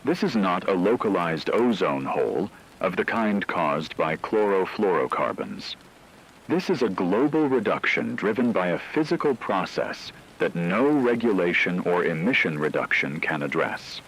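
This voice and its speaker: Deep, grave male actor as radio news broadcaster. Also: animated, fairly bright tone, a little hiss or hum, rough recording. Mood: grave, explanatory. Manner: measured, grave, formal. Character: grave radio broadcaster reporting a global catastrophe. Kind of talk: scripted emergency radio report.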